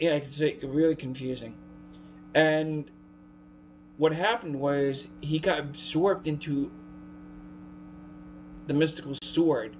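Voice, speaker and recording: A man in his thirties giving casual, rambling commentary, halting and often repeating or correcting himself, recorded at home on a webcam mic. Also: medium pitch, rough recording. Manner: halting, repetitive, self-correcting. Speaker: amateur video maker. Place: living room at home. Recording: steady hum and background noise.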